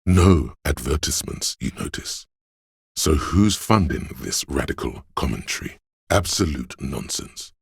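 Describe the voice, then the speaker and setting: A man voicing a flamboyant, cocky inner voice of style and hustle in a video game, with a suave, theatrical, deliberate delivery and a gravelly, husky tone. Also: rumbling low, expressive, no echo, clean studio sound, heavily acted. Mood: cocky, scornful. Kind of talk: dramatic scripted game narration in second person.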